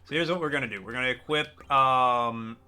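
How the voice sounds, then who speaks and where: A man live-streaming a game, chatting casually with his viewers in a deliberately raised, customer-service-style register. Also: medium pitch, sharp tone, smooth, soft background music. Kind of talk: casual live-stream chat while gaming.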